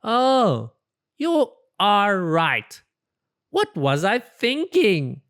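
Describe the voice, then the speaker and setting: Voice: mid-range voice, huge pitch swings, extremely slow, a bit bright, voice pushed up, full character voice. A storybook reader voicing a cheerful, silly, overconfident male porcupine with a breezy, theatrical manner. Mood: confident, upbeat. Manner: breezy, theatrical, self-assured delivery.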